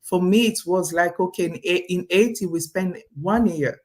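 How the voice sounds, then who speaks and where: A woman's voice: on the low side, normal speed, a little mellow. A woman from Congo, a native French speaker with a Congolese French accent, telling her own story as an interview guest, repeating phrases for emphasis. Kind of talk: personal storytelling in casual conversation.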